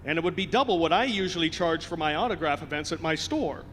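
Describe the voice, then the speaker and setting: A man's voice: on the high side, expressive, fast, a bit bright. A man playing an earnest, self-promoting, folksy former ballplayer in a live radio play. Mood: eager. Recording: noisy live stage in echoing hall.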